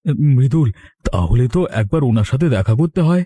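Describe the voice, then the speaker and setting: A good-natured cartoon villager, acted by a man, with a mellow voice of medium pitch. He is easygoing and curious, chatting in an agreeable, casual way. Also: very animated, a little fast, slightly rough. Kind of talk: Bengali cartoon voice acting.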